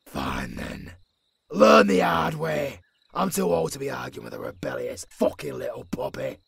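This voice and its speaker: A British man playing a weary, menacing old werewolf mentor with a gruff, husky growl in a dramatic audio roleplay. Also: a little high, big pitch swings, unhurried, a crowd in the background, exaggerated voice. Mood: exasperated. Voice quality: gravelly, husky, breathy growl. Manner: brooding, gruff, sweary.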